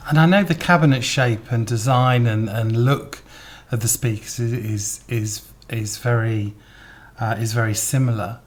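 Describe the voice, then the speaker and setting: A man's voice: mid-range voice, fairly even intonation, measured pace. A man hosting an interview, putting questions in a conversational way. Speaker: interviewer, host.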